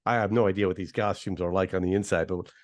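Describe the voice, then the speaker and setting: A man's voice: sits low, fairly even intonation, fast talker. A man who hosts a radio station's interview, asking chatty questions with some hesitation. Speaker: radio host. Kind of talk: casual interview over video call.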